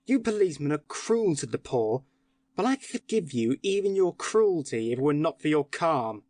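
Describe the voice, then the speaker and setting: A woman's voice: medium pitch, big pitch swings, a little fast, no echo. Woman voicing a heated, scornful young man. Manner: sharp, heated outbursts. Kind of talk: audiobook character voice.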